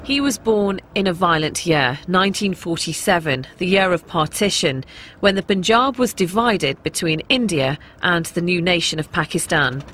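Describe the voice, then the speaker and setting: A woman's voice: fairly low pitch, animated, moderate pace, a bit bright, a little hiss or hum. A woman with an English accent presenting a personal documentary, in narration and to camera. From England.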